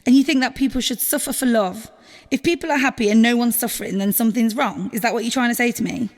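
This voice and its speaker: A woman's voice: a little high, quick pace, long reverb tail, natural voice. A woman acting a loving, exasperated girlfriend in an audio drama, emotional, pleading and informal, with some swearing. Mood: hurt, pleading. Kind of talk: naturalistic audio drama dialogue.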